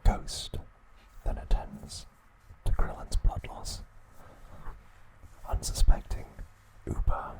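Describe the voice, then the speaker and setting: A man hosting ASMR, narrating a recap in a breathy, hushed whisper with short, halting phrases. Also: low-quality recording. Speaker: ASMR host.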